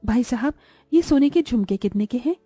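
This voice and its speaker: Mellow-voiced, nagging greedy young wife played by a woman. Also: on the high side, lively intonation, normal speed, breathy edge, no room sound, faint music behind. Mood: coaxing. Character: greedy, envious, sharp-tongued young wife and mother. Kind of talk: Hindi cartoon moral story voice acting.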